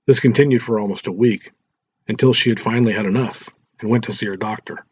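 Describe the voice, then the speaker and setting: A man reads a story aloud as narrator. His voice is fairly low and husky. The home recording is dull and lacks crispness. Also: fairly even intonation, fast talker, darkish tone, no echo. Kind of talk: scripted audiobook narration.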